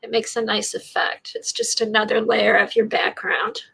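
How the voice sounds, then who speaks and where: A woman's voice: fairly high pitch, little pitch movement, moderate pace, creaky, fairly bright tone, husky, low-quality recording. A woman with a congested, stuffy voice from a sinus infection, demonstrating a craft technique and thinking aloud as she works. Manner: rambling, thinking aloud while working. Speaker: art livestream host. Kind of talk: casual art demonstration.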